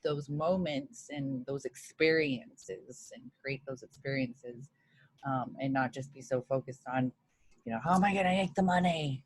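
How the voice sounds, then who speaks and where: A woman's voice: rumbling low, measured pace, husky, poor audio. A woman from Hawaii, a guest on a video call interview. She speaks in an earnest, reflective way. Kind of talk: casual interview chat.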